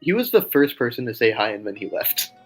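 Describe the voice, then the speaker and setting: A man's voice: mid-range voice, sing-song, fast talker. A man co-hosting a livestream in casual banter. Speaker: livestream co-host. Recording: music playing behind the voice.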